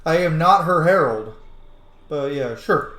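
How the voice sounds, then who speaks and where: A man's voice: fairly high pitch, expressive, measured pace, fairly bright tone. A man giving casual, amused and sweary commentary as he plays a roleplaying game, reacting aloud. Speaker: let's player, game roleplayer. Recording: roomy sound, faint background noise.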